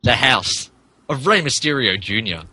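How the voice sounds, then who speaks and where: A man narrates fan fiction as comic drama. His pitch moves around a lot, and his voice is bright and forceful with a husky edge. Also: sing-song, a little slow, no room sound. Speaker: narrator in a podcast reading.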